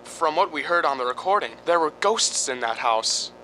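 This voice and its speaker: A man playing an alarmed, earnest gentleman in a radio drama, speaking urgently with some stammering. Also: lively intonation, normal speed, low-quality recording. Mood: concerned, insistent. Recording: faint rumbling background noise.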